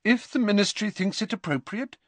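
Storybook casting: man plays older woman schoolteacher, raised pitch, brisk, formal and stern. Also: lively intonation, no room sound. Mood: shaken, formal.

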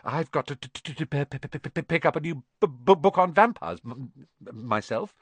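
A man's voice: a little high, expressive, normal speed, husky, no room sound. A man voicing a pale, nervous young male teacher who stammers heavily and timidly.

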